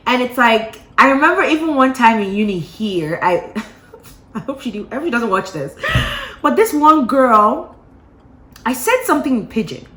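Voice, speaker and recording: A woman's voice: fairly high pitch, normal speed. Chatty woman vlogger in a roomy space. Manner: animated, chatty storytelling with playful asides. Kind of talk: casual gossip chat to camera. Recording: roomy echo.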